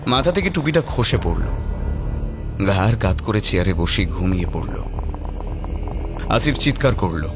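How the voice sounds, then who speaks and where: A man's voice: on the low side, lively intonation, unhurried, pauses often. A man narrating a scripted horror story in a dramatic storytelling style. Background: prominent background music.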